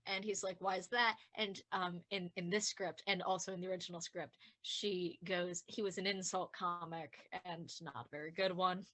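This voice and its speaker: A woman's voice: lowish voice, steady tone, normal speed, few pauses, a bit bright. A woman chatting casually as a podcast writer in a group commentary.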